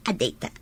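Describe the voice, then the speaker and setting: An actress playing a scheming wife in an Ilocano radio drama, who teases and sweet-talks her husband. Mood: sneaky.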